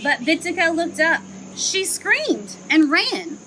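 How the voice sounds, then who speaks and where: A young woman who works at a zoo reads a children's picture book aloud outdoors, in an expressive storytelling manner. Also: very high-pitched, noisy background. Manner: slow, expressive storytelling, livelier chat afterward. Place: outdoor zoo enclosure with trees.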